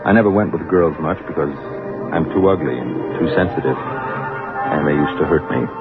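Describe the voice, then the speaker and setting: A man's voice: a bass voice, moderate pace. An actor playing a lonely, sensitive newspaper reporter in his thirties, brooding and earnest in an old radio drama style. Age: 30s. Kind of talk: mid-century radio drama acting. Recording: muffled vintage radio recording with loud music.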